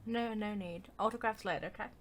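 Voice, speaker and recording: A woman's voice: mid-range voice, fairly quick, heavy background noise. A woman giving casual gameplay commentary as a streamer, playful, reactive and rambling. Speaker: game streamer.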